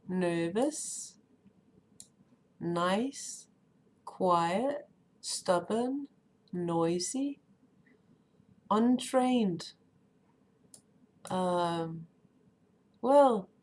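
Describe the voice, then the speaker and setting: A woman with a Danish accent chatting casually on a live stream, often pausing with "um" and "uh" as she searches for words. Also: lowish voice, darkish tone. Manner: hesitant, many ums and uhs while searching for words.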